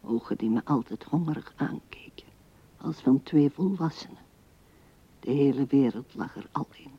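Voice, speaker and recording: An actress playing an old widow who muses tenderly and haltingly to her late husband in a radio drama, often dropping to a hush. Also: very deep voice, breathy edge. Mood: reminiscing. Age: older. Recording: dull 1970s radio recording.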